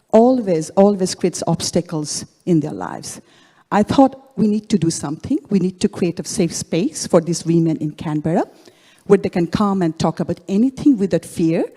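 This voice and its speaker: A woman from Bangladesh, speaking earnestly and haltingly as an award recipient in an onstage interview. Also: medium pitch, mellow. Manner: earnest, halting, repeats phrases. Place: large event hall. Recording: long hall reverb.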